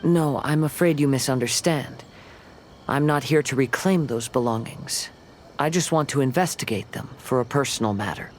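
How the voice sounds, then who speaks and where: A man playing a calm, polite young wandering swordsman in a video game, speaking gently and with measured care. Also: mid-range voice, slight background noise, lightly acted. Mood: gentle, earnest.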